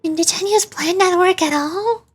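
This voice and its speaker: A woman's voice: very high-pitched, normal speed, a little hiss or hum, pitched above the performer's own voice. A woman voicing a small, cheerful, chatty childlike companion in a fantasy game. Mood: bright, apologetic.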